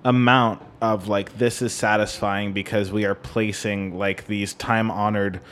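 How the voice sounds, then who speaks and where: A man hosting a podcast in casual music discussion, talking in a halting way and peppering it with "like". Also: on the low side, flat delivery, a bit bright, slight background noise. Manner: halting, hedging, heavy use of "like". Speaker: podcast host.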